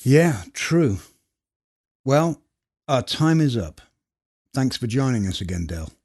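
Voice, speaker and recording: A man's voice: medium pitch, big pitch swings, slow pace, a little mellow. A man hosting a podcast, speaking in a conversational literary interview style. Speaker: podcast host.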